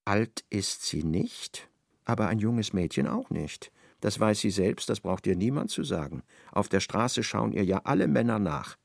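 A man's voice: medium pitch, creaky, dry recording. A man narrating a literary radio play as a storyteller, recorded in a studio. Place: dry studio.

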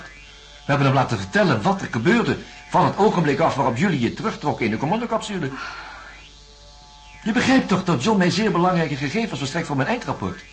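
An actor playing an insistent, placating psychiatrist in a radio drama, reasoning and coaxing in speech. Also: male voice, fairly high pitch. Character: male psychiatrist, placating and insistent, defending his methods.

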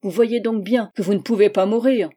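A woman reading the part of an earnest young man, speaking with steady, measured persuasion in her own voice. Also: medium pitch, natural voice. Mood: firm. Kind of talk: audiobook dialogue reading.

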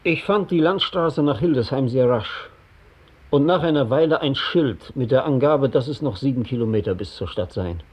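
Husky sober male narrator. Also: mid-range voice, lively intonation, normal speed, dull audio. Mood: sober, recounting. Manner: sober, precise. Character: sober man recounting his wartime night mission. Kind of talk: first-person account in a 1960 radio play.

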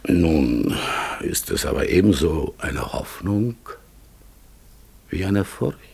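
An actor playing a gentle, melancholy talking raven in a radio play, halting and solemn. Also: male voice, deep voice, very animated, exaggerated voice. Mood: longing, pensive. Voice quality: husky, gravelly, breathy. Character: melancholy talking raven exiled among humans, searching, gentle. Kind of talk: German radio play acting.

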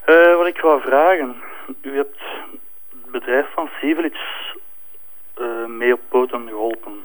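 A man playing a polite, plodding police investigator in a crime drama interrogation, speaking haltingly with a Flemish accent. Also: medium pitch, lightly acted, low-quality recording. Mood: probing, hesitant.

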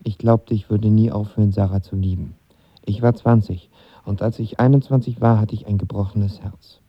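A man's voice: on the low side, steady tone, normal speed, breathy edge, dry recording, muffled recording. An actor playing a lovestruck young man of twenty who tells his story in casual slang in a radio drama. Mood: wistful. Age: young adult. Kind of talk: radio drama narration and dialogue.

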